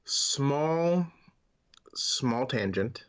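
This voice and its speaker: A man's voice: mid-range voice, animated, drawn-out words, fairly bright tone, smooth. An opinionated man chats casually as a podcast co-host. His voice sits in a medium register, and he puts firm emphasis on his points in a conversational, unscripted way.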